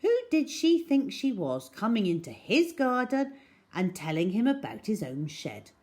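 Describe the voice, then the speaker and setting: A woman storyteller reading a children's story aloud in an expressive, dramatic way. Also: fairly high pitch, unhurried. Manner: dramatic, animated.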